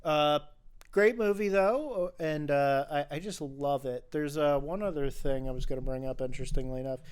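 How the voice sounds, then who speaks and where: Smooth, higher-pitched man, enthusiastic podcaster. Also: normal speed. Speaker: podcast host. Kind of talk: casual film discussion.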